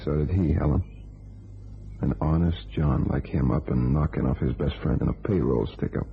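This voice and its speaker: A scheming, hardboiled ex-con in a crime radio play. His voice is extremely low and full of gravelly creak, with tough, terse phrasing. It comes through thin and tinny, like an old radio. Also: normal speed, a little hiss or hum. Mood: confiding. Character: Rusty Connors, scheming hardboiled male ex-convict. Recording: tinny band-limited old radio, music behind.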